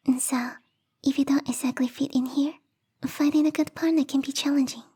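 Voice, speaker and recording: A woman voicing a bubbly, lovestruck young female snow spirit in a soft, breathy ASMR roleplay whisper, coy and rambling. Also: high voice, fairly even intonation, fairly quick, lightly acted. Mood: shy, hopeful.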